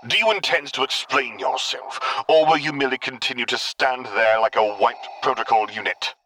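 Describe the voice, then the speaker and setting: A man voicing a stern, exasperated armored dark lord in an audiobook, with formal, clipped, commanding speech. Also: mid-range voice, normal speed, slight background noise, voice pushed up. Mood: angry, scornful. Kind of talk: audiobook character voice.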